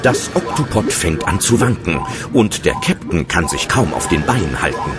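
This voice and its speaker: A man's voice: deep voice, big pitch swings, moderate pace. A man narrating a scripted children's sea adventure as a storyteller. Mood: suspenseful. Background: loud music.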